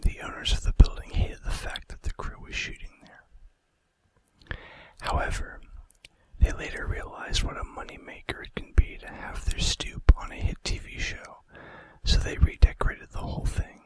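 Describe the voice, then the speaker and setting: A man's voice: normal speed, poor audio. Male ASMR narrator, whispered throughout, chatty and informal, reading out trivia. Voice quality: breathy unvoiced whisper. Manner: casual, conversational asides. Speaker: ASMR video host.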